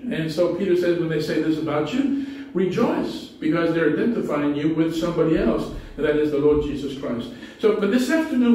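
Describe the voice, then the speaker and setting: Pastor with a Spanish accent, echoey hall. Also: male voice, fairly high pitch, dark tone, recorded from a distance, slight background noise. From Spanish-speaking country. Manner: conversational preaching with frequent restarts and asides. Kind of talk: sermon. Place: small church hall with low ceiling. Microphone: pulpit mic, far camera.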